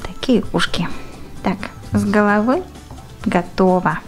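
A woman narrates a hands-on craft lesson at a slow pace. She speaks in short, simple phrases with fond diminutives, at a middling pitch, over faint music. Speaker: craft tutorial presenter. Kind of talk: step-by-step instructional narration.

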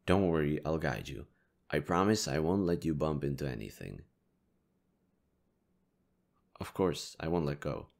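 A man playing a kind, reassuring young stranger in an intimate audio roleplay, speaking gently, considerately and a little hesitantly. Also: extremely low pitch, expressive, moderate pace, creaky, heavily acted. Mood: gentle, reassuring. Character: kind, reassuring young male stranger helping a distressed girl.

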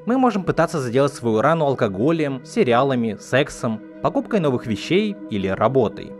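A man narrating a scripted explanatory video essay in a measured, didactic manner. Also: medium pitch, very animated. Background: background music.

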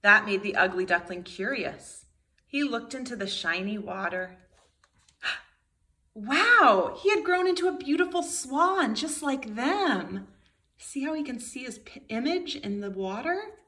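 A woman's voice: fairly high pitch, lively intonation, a little slow, pushed and bright. A woman in her thirties, a kindergarten teacher reading a storybook aloud expressively to young children.

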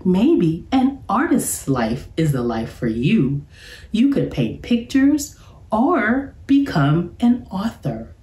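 Woman reading as an animated, encouraging bear professor. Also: mid-range voice, slow, far from the mic, voice pushed up. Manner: encouraging, emphatic, explaining to children. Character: cheerful, instructive male bear professor in a children's storybook. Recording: roomy, echoey sound.

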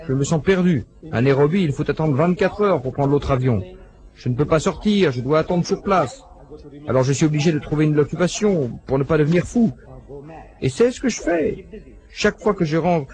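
A man delivers a translated voice-over of a lecture in a slightly raised voice. He tells the stories with lively swings in pitch and plays out every quoted exchange. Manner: animated storytelling, acting out quoted dialogue.

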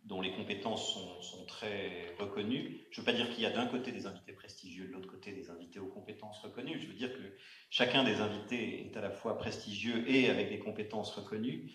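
A man moderating a round-table discussion in an auditorium, speaking haltingly with many fillers and restarts. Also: lowish voice, a bit bright, a little hiss or hum. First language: not Arabic. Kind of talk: hesitant spoken introduction at a panel. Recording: echoey auditorium sound.

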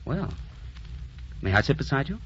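An actor playing a smooth-talking, brazen ladies' man in old-time radio drama style. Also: medium pitch, big pitch swings, normal speed, full character voice. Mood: seductive. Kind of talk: 1930s-40s radio acting. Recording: muffled, noisy old radio recording.

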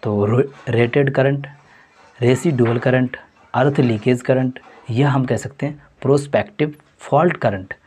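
A man with a husky, slightly breathy voice works through a mock test as a teacher. His pitch sits in an ordinary middle range. He repeats the answers and keeps calling his viewers friends. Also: measured pace, a little mellow. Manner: repetitive, addresses viewers as friends. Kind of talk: exam question walkthrough.

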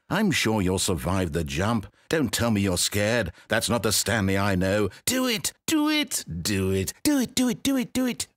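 A man's voice: on the low side, breathy edge, no echo, exaggerated voice. An actor playing a smug, mock-polite male narrator of a video game, speaking with sardonic theatrical wit.

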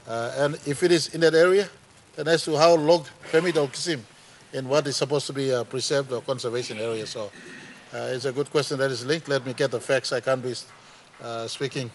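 Brief: Papua New Guinean man, even mid-range voice, measured answer in parliament. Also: mid-range voice, slight background noise. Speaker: prime minister answering a question. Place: large parliament chamber.

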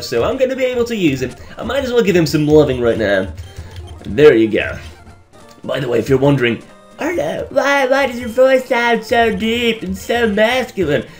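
A young man with a Belgian accent, raspy from just waking up, giving rambling, joking commentary over a game he is playing and often stumbling over his words. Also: a little high. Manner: rambling, stammering restarts, joking, laughs often. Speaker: let's play host. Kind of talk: casual gameplay commentary.

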